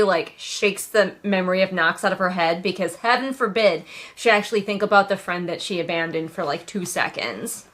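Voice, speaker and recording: A woman with a mid-range voice hosts a casual read-along review. She is sarcastic and puts animated, mocking emphasis on her points as she comments. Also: medium pitch. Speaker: book reviewer and host.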